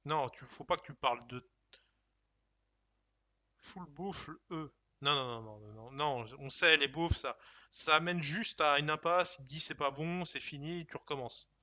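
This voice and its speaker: A man's voice: fairly high pitch, normal speed, pushed and bright. A man streaming a game, reading options aloud and joking in casual commentary.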